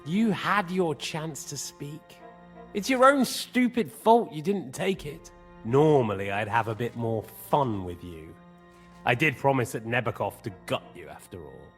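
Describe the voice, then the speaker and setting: A sadistic commander in a video game, mid-pitched and swooping. He toys with his captives, mocking them with leisurely false courtesy. Music plays behind him. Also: sing-song, unhurried. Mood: menacing. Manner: taunting, leisurely, theatrically courteous. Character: sadistic, mocking male interrogator and commander, toying with prisoners. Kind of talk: video game cutscene acting.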